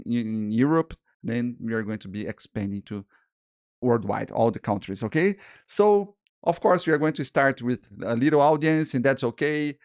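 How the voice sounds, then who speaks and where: A man in his forties with a Portuguese-speaking accent talks through his second language, stopping, restarting and repeating words as he goes. His pitch sits in an ordinary middle range. The sound is close and dry, with no room around it. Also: dry recording. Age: 40s. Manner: halting, many restarts and repeated words, frequent "okay?" and "guys". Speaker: freelance illustrator and podcast host. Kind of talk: casual solo talk to camera.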